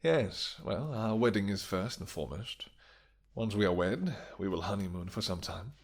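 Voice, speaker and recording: A man's voice: on the low side, animated, moderate pace. A man playing a tender, possessive vampire king with formal, courtly phrasing in a romantic fantasy audio roleplay. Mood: tender, devoted.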